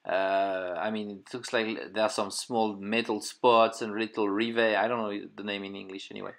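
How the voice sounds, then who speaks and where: A man's voice: fairly low pitch, little pitch movement, moderate pace. A middle-aged man with a French accent, French his first language, reviewing a toy in casual English with many fillers and restarts while he hunts for words. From France. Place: indoor room full of figures and display cases.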